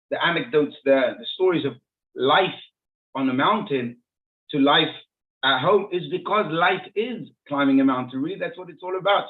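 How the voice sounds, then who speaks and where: A man from Israel, a rabbi and interview guest, telling stories conversationally, often repeating and rephrasing as he explains. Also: a little high. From Israel, born and raised in Jerusalem. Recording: muffled phone-like narrowband audio.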